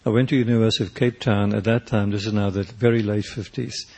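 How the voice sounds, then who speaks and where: A South African man past middle age, his native tongue Afrikaans, speaks in a low, slightly husky voice. He answers questions slowly and reflectively, circling back and restarting his sentences as he searches for words. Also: steady tone, darkish tone. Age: older. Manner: thoughtful, halting, many restarts and fillers. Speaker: poet and painter, interview guest.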